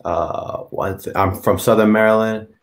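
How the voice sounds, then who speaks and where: A teenage boy moderating a panel on a video call, measured and a little hesitant. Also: lowish voice, fairly even intonation. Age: teens. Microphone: headset.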